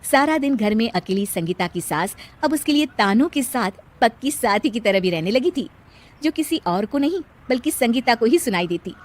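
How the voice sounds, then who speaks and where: A woman with a fairly high voice tells a cartoon story. She delivers it as scripted narration, as a storyteller addressing her listeners. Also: animated, moderate pace.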